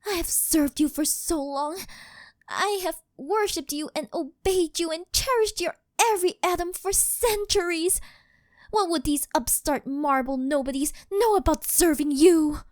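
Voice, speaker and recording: A woman's voice: very high-pitched, a little slow, darkish tone, no echo. A woman voicing a possessive, worshipful living doll maid in an intimate ASMR roleplay, speaking in a formal, fawning, melodramatic way. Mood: jealous, disgusted. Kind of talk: scripted ASMR roleplay, intimate monologue to the listener.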